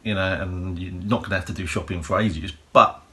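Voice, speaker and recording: A man with a croaky voice, hosting a prepping channel and giving rambling practical advice full of fillers and "you know"s. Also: low-pitched, fairly even intonation, a little fast, bright, forceful tone. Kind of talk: casual advice monologue.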